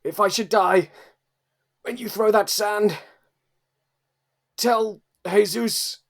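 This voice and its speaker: A man playing a doomed, noble half-slime creature, speaking dramatically and haltingly in improvised audio comedy. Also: high voice, vocal fry, voice pushed up, exaggerated voice. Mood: solemn, noble.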